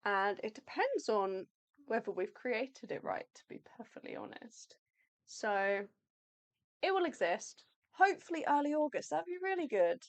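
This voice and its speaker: Woman, livestream co-host, chatty, rambling, fairly high voice, slightly hoarse. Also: sing-song, moderate pace. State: losing her voice. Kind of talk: casual live chat. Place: home art livestream.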